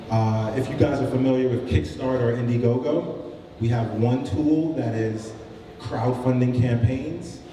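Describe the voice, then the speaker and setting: Man, startup founder, informal pitch, dark mellow tone, distant mic, faint room noise. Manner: casual, many fillers and restarts. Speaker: startup founder giving a short talk. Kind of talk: informal pitch talk to a live audience. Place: event room with audience. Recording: roomy hall, mic from a distance.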